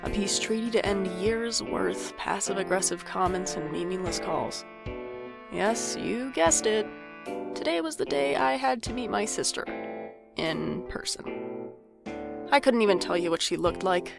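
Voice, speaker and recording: Audio drama lead: woman as anxious, wry older sibling, mid-pitched, self-mocking, music bed behind. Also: lively intonation, unhurried, vocal fry. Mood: wry, uneasy. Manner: dry, wry, theatrical sarcasm. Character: anxious, sardonic older sibling, self-deprecating former band kid. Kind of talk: audio drama acting with first-person narration.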